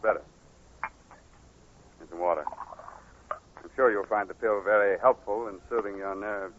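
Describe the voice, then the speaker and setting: An actor playing a cold, calm killer in a 1940s radio thriller, with a slow, deliberate, threatening delivery. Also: male voice, fairly low pitch, lightly acted. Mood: controlled threat. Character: cold, menacing male killer, calm and controlling. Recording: muffled, noisy 1940s radio recording.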